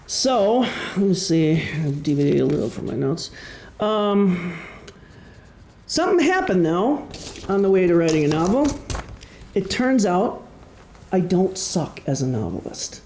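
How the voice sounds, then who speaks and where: A man's voice: high-pitched, sing-song, unhurried, pauses often, a little mellow, a little hiss or hum. A middle-aged man giving an informal talk as an author, telling stories in a wry, self-deprecating way. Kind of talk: informal prepared talk to an audience. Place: indoor room, live presentation. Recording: echoey room.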